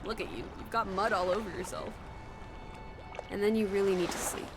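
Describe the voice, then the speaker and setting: A woman's voice: medium pitch, fairly even intonation, a little fast, background noise, close to an everyday voice. An actress playing a breezy, teasing young woman companion in a 1920s video game drama, wry and bossy but caring. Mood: soothing, coaxing. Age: young adult. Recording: music behind the voice.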